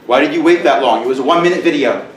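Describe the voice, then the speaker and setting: A middle-aged male teacher giving a conference presentation in a loud, booming voice with joking asides, recorded from far off in a large room. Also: very fast, roomy sound, a little hiss or hum. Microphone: camera mic from far off.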